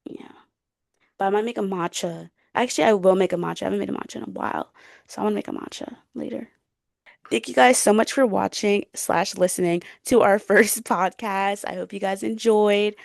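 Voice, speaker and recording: A woman's voice: mid-range voice, vocal fry, dry recording, studio quality. A young woman co-hosting a podcast in casual, chatty, slangy conversation. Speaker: podcast co-host.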